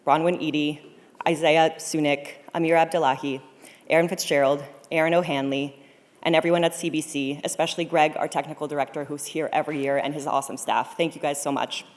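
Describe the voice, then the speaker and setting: A woman's voice: sits low, steady tone, brisk. A woman hosting a conference, delivering a scripted welcome speech to open the event. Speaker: conference co-programmer, host introducing event. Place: large studio auditorium, on stage. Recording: echoey hall with long reverb.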